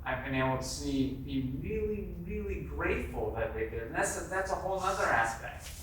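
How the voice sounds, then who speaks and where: A man's voice: fairly high pitch, lively intonation, brisk. Casual male sharer, hesitant, off-mic in a very reverberant room. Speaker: meeting participant sharing personal experience. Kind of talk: casual personal testimony. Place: convention meeting room, indoors. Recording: very echoey room, distant mic, clear noise.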